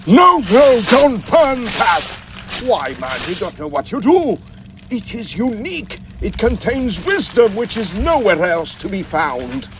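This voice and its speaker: A man's voice: high voice, wildly swooping pitch, measured pace, slight background noise, full character voice. An actor playing an arrogant, desperate male occultist student, indignant and theatrical, in a melodramatic audio drama. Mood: desperate pleading.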